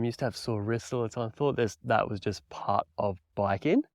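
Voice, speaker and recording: A man's voice: on the low side, no echo, clean studio sound. A man in his thirties with an Australian accent, hosting a casual solo podcast in an upbeat, enthusiastic, laid-back way. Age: 30s. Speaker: podcast host and mountain bike coach.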